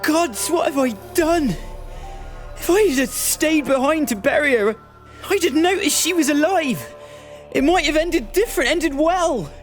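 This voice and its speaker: An actor playing an anguished, guilt-ridden young village fisherman in a video game, halting and emotional, speaking in rustic dialect. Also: very high-pitched, lively intonation, soft background music. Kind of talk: video game dialogue acting.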